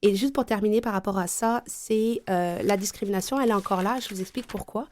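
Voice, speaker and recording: A woman's voice: medium pitch, fast talker, a little mellow, a little hiss or hum. A woman from France with a French accent, explaining her view as a panel guest with frequent hesitations. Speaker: consultant and trainer, panel guest. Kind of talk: round table discussion.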